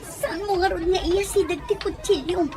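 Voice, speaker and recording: A woman's voice: very high-pitched, steady tone, moderate pace, a crowd in the background, noisy background. A woman playing a frightened, pleading young housemaid in an Ilocano audio drama, timid and deferential. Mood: nervous.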